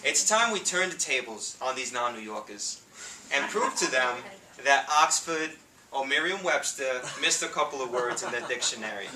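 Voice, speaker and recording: A man in his thirties with a New York City accent, giving a humorous contest speech with punchy timing and pauses for laughs. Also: mid-range voice, expressive, pushed and bright. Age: 30s. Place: meeting room with an audience. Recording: echoey room, distant mic, faint noise.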